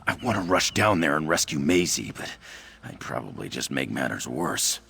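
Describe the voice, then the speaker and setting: A man voicing an earnest adventurer devoted to his cat in a video game, with a deep, gravelly voice. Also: very animated, moderate pace, pushed and bright, full character voice.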